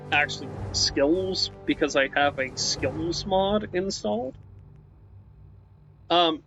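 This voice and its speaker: Slow, bright-voiced man rambling over gameplay. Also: fairly high pitch. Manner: rambling, frequent "right?" tags and restarts. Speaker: let's play host. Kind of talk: casual gameplay commentary. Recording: muffled with faint background hiss.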